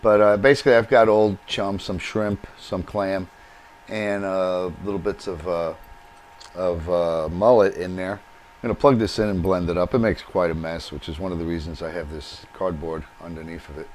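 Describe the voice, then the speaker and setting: A man's voice: sits low, steady tone, normal speed, a little mellow, slight background noise. A man hosting a do-it-yourself video, explaining each step as he works with his hands at a workbench in his home workshop. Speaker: DIY channel host. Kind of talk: hands-on how-to demonstration.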